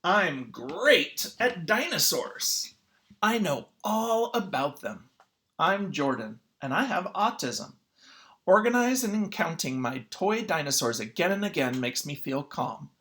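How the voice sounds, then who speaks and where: Someone reading a children's picture book aloud in an expressive, teacherly way. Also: medium pitch, takes it slowly. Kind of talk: children's read-aloud narration.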